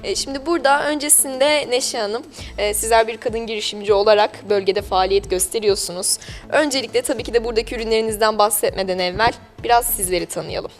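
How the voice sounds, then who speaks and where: A woman's voice: a little high, fast talker. A woman hosting a local TV interview in a chatty, polite, wordy presenting style. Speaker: TV programme host interviewing business owners. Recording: music behind the voice. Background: background music.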